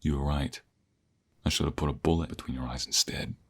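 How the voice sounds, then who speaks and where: A man playing a protective captive ex-mobster in an audio roleplay, earnest and emotional, with a husky, breathy voice. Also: rumbling low, fairly even intonation, a little fast. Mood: defiant. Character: protective captive ex-mobster, devoted to his fiancée. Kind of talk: scripted audio roleplay acting, ASMR.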